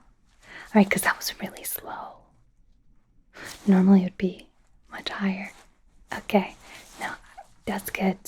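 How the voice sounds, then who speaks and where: A woman playing an anxious, apologetic young werewolf medical student in a soft, hesitant ASMR roleplay whisper. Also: mid-range voice, slightly rough. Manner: hesitant, halting, nervous.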